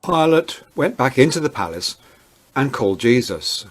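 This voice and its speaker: A man's voice: mid-range voice, sing-song, moderate pace, breathy edge. A man narrating a dramatized Bible reading as a scripted storyteller.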